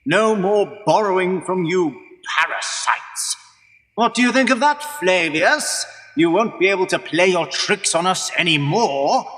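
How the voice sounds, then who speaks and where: A man's voice: high-pitched, animated, unhurried, fairly bright tone. A man playing a pompous, blustering Roman emperor in a comic radio play, declamatory and dismissive. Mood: blustering, self-satisfied. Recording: long hall-like reverb.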